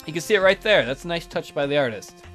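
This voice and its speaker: A man's voice: a little high, animated, quick pace, a bit bright, soft background music. A man hosting a let's play, making wry, irreverent asides in casual commentary.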